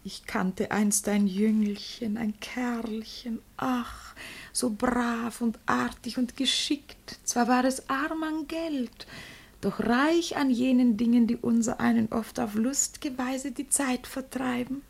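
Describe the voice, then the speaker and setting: Vain old lady jilted by a young lover: highish, crackly voice, theatrical lament, classical radio comedy. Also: steady tone, takes it slowly, creaky, slightly rough, exaggerated voice. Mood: wistful.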